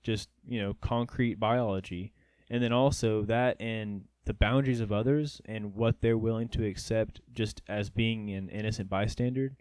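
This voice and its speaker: Young guy rambling on a podcast, lots of restarts, smooth voice, dry room. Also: medium pitch, a little mellow, no room sound. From North Georgia. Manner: rambling, many restarts and fillers. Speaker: podcast co-host. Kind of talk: casual chat.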